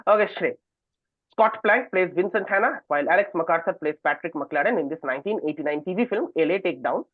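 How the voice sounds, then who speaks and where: A quizmaster reads trivia questions aloud in a medium-pitched voice. The sound is thin and phone-like, as on an online call, with a dry, close finish. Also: expressive, fast, no room sound. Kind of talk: reading quiz questions aloud.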